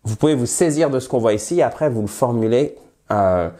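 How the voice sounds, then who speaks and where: French teacher with a head cold. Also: male voice, mid-range voice. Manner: lively, addresses viewers as dear friends, slows to model English phrases. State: has a cold, slightly nasal voice. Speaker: English teacher. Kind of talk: bilingual language lesson at a whiteboard.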